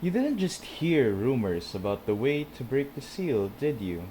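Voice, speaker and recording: A man's voice: medium pitch, sing-song, measured pace, mellow, a little hiss or hum. A man streaming a game, casually reading dialogue choices aloud and reacting to them.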